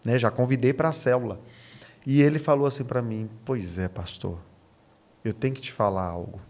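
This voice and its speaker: A Brazilian man with a mid-pitched voice talks like a pastor in conversation. He is earnest and emphatic, making his points through personal stories and often checking in with short rhetorical questions. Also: moderate pace, darkish tone. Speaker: pastor, podcast guest. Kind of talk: conversational preaching with personal anecdotes.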